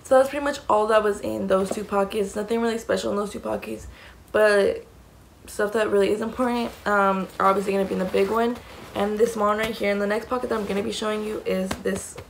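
A young woman with a raspy voice, chatting casually as she shows the contents of a bag to the camera in a small room, rambling with many fillers. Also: medium pitch, steady tone, fairly quick, slight background noise, low-quality recording. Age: young adult. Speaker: mom vlogger. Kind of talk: casual show-and-tell vlog. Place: small indoor room against a plain wall.

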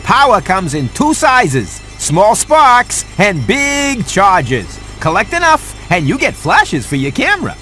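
An actor playing a sarcastic, fussy house cat who wisecracks his way through an animated video game. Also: sits high, slow pace, hardly any pauses, soft background music, heavily acted. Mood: wry, instructing. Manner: wisecracking, sardonic.

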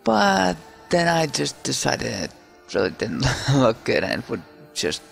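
A man casually narrating his own digital painting in a relaxed, hesitant way, full of fillers and restarts, over faint music. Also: mid-range voice, a little slow, vocal fry.

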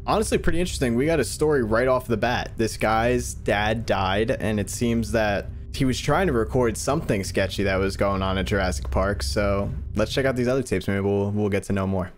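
A man's voice: on the low side, brisk, hardly any pauses, a little hiss or hum. A man in his twenties hosting reaction videos with casual commentary, close on a desk microphone. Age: 20s. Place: small furnished room indoors.

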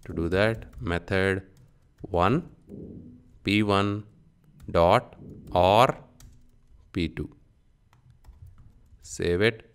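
Deep-voiced man teaching programming step by step. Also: drawn-out words, pauses often, clear, resonant tone. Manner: instructional, clipped short phrases. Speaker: programming instructor. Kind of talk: step-by-step software tutorial, dictating as typing.

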